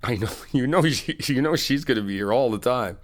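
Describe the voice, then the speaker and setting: A middle-aged man in an ASMR friend roleplay, talking in an easygoing, reassuring, chatty way with soft laughs. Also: mid-range voice, a little fast. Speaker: ASMR roleplay creator playing a best friend.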